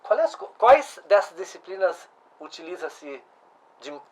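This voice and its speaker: A man's voice: sits high, very animated, unhurried. A middle-aged man, hosting a casual interview and asking follow-up questions. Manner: conversational, restarts and asks follow-up questions. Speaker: host.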